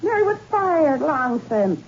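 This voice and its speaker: An actress playing a tough, streetwise former maid in a 1960s radio drama, sarcastic and dismissive. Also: female voice, high voice, big pitch swings, a little slow, heavily acted. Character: brassy, streetwise young woman, a former maid. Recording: dull, hissy old radio recording.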